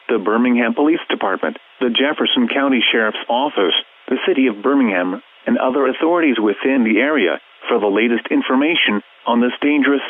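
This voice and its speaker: A synthetic male voice with an American accent, formally and impersonally reading scripted emergency weather alerts like a radio announcer. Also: medium pitch. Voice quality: synthetic text-to-speech voice. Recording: muffled, phone-like weather radio broadcast.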